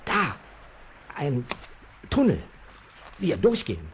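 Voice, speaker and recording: Slow, halting, simple-minded fellow. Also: male voice, fairly high pitch, wildly swooping pitch, full character voice. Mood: hesitant, timid. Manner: halting, broken childlike phrases. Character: simple-minded, timid, good-hearted man named Dummi. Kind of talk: 1970s German children's audio play acting. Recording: old audio drama, faint background noise.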